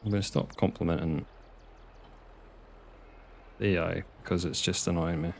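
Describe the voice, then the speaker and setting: A young Scottish man with a low, gravelly voice talks casually through a live gameplay stream. He answers viewers' messages in a chatty way and mutters quiet asides at the game. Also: steady tone, normal speed, slight background noise. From Scotland. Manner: chatty, reads chat aloud and mutters at the game.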